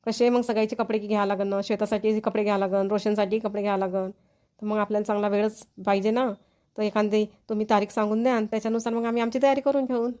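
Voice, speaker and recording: A woman's voice: mid-range voice, little pitch movement, quick pace, darkish tone, natural voice. A woman voicing an eager, practical aunt in a cartoon family comedy, chattering away with a Varhadi Marathi accent.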